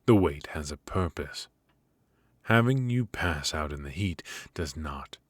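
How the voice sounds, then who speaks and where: A man's voice: very deep voice, lively intonation, a little slow, no echo. A man voicing a cold, strict royal professor of magic, stern and clipped, with dry, condescending remarks in an ASMR roleplay.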